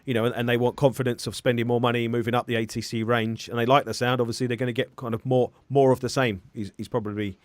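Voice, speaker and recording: A man's voice: mid-range voice, level tone, fast talker, hardly any pauses, dry recording. A man hosting a casual live-stream interview, rambling and often correcting himself as he phrases questions. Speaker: interviewer and hi-fi review host.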